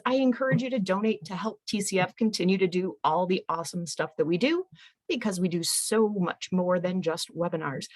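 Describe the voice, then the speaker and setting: A woman hosts a webinar with chatty, upbeat announcements about sponsors and donations. Her voice sits at a medium pitch, and she talks in a friendly, promotional way. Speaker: webinar host. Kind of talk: hosting announcements. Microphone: computer mic on a video call.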